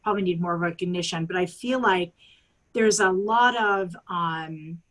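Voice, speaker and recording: A woman's voice: lowish voice, measured pace. A woman author in casual, chatty conversation over a video call, often saying "you know" and "like". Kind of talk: casual chat.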